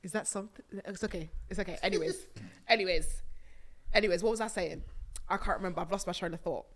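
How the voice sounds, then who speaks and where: A young woman hosting a podcast from a small studio set, chatting emphatically about a reality show into a boom mic, with bursts of laughter. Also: fast. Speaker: podcast host. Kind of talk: casual chat reviewing a reality show. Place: small purple-lit studio set on a sofa. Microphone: studio mic on a boom arm.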